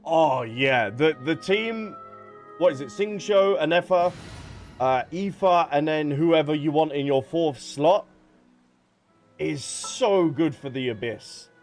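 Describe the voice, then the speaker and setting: A man's voice: on the high side, lively intonation, takes it slowly. A man livestreaming a game, chatting casually and speaking directly to his viewers over game music. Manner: chatty, addresses viewers by name. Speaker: live streamer.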